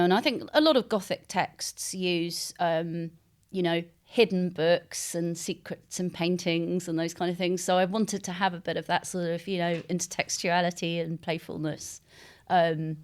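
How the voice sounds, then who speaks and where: A mature woman being interviewed as a novelist, speaking reflectively and articulately, with hesitant pauses and softened phrasing. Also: on the low side, fairly even intonation, clear, resonant tone. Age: middle-aged or older.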